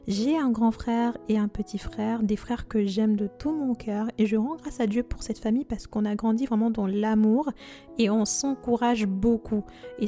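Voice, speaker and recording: A woman's voice: on the high side, mellow. A woman in her twenties, originally from Congo, hosting a faith podcast in an earnest, devout and chatty manner with frequent fillers. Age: 20s. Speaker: podcast host.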